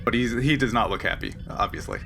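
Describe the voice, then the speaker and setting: A man narrating a tabletop game as game master, halting and thinking aloud as he improvises. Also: medium pitch, pushed and bright, a little hiss or hum.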